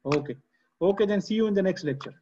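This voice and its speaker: A man's voice: sits high, fast, mellow. A man in his thirties giving an engineering lecture online, explaining patiently, repeating key terms and often saying okay, heard through a computer mic on a video call. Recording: dull lo-fi video-call audio.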